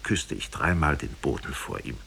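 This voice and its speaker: A man's voice: rumbling low, big pitch swings, moderate pace, vocal fry, sharp tone, slight background noise. A man narrating a fairy tale in solemn, dramatic 1950s radio style with formal diction.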